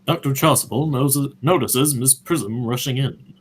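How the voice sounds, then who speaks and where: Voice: mid-range voice, measured pace, a little hiss or hum. A narrator reading stage directions aloud for a radio play, in a scripted narration style.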